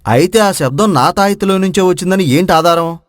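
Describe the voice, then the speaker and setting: A man's voice: high-pitched, brisk, no echo, studio quality, natural voice. A man voicing an evasive village fellow who denies and mocks, in acted moral-story dialogue. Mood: defensive. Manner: denying, mocking. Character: evasive village man, hero's childhood friend.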